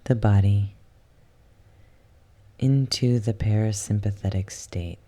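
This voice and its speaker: A soft, hushed voice guiding a yoga nidra relaxation in ASMR style, soothing and unhurried, slipping at times into a whisper. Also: medium pitch. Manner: soothing, unhurried, with long pauses. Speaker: guided relaxation instructor.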